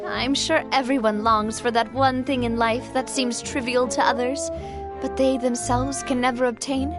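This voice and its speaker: High-voiced young woman leader, sincere and a touch self-conscious, faint game music. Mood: heartfelt. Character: young woman, a cheerful boss touched by her crew's support. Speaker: game character. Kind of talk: video game voice acting. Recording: game audio with music behind.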